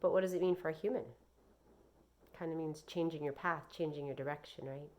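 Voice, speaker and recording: A woman reading aloud like a teacher to children, expressive and deliberate in her delivery. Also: sits low. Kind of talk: read-aloud with explanations and questions for children.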